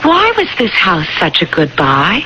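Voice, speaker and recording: An actress playing a suspicious, insistent young wife in a radio drama, emphatic in speech, on a dull old broadcast. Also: female voice, fairly low pitch, huge pitch swings, unhurried, bright, forceful tone, slight background noise, heavily acted. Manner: argumentative, emphatic.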